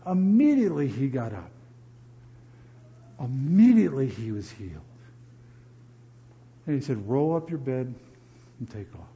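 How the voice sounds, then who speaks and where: A man from New Jersey preaching a sermon as pastor, animated and often repeating words as he builds emphasis. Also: medium pitch, measured pace, pauses often, lo-fi sound.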